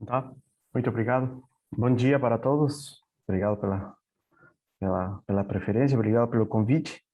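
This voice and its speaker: A man from Peru speaking Portuguese with a Peruvian Spanish accent, giving a halting conference presentation over a video call, often repeating words and saying "eh". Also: mid-range voice, mellow. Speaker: panelist, software company representative. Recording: dull lo-fi call audio.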